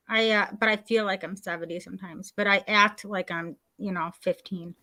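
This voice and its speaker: A woman's voice: mid-range voice, fairly even intonation, normal speed, sharp tone, no room sound. A woman in her forties chatting in a bubbly, talkative way on a group call. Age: 40s. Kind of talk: casual group video chat.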